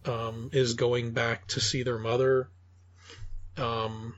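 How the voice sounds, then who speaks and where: A man co-hosting a podcast in casual discussion, speaking haltingly with many ums. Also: medium pitch, little pitch movement, no room sound, muffled recording. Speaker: podcast co-host.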